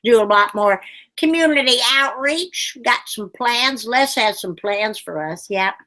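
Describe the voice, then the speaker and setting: Kobieta grająca gadatliwą 95-letnią babcię. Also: female voice, fairly high pitch, lively intonation, measured pace, pushed and bright. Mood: chatty. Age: older. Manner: rambling, folksy, sighing. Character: chatty 95-year-old granny puppet, sleepy.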